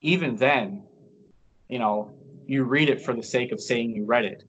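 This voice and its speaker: A man in his thirties talks openly as a guest on a video call. He speaks at a middle pitch, loose and chatty, restarting his sentences and leaning on fillers. The sound comes through a call from an indoor room. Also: normal speed, a bit bright, highs cut off, slight background noise. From Canada. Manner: frank and chatty, many restarts and "you know". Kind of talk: casual interview on a video call. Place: indoors by a large window.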